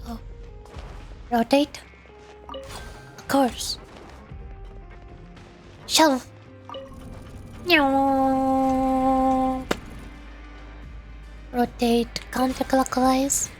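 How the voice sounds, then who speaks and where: Female game streamer, high-pitched and slow-paced, playful casual chatter with cute spoken sound effects. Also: level tone, faint music behind. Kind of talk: casual livestream gameplay chat.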